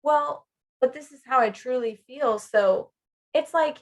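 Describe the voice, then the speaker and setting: A woman with a notably high voice co-hosts a casual podcast. She is chatty and giggly, and she slips into little imagined quotes as she explains things. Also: measured pace. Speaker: podcast co-host.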